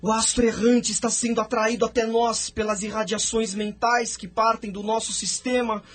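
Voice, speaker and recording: An actor playing a solemn, sorrowful seer who recounts his world's past in a reverent, drawn-out manner for an audio drama. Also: high-pitched, little pitch movement. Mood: portentous.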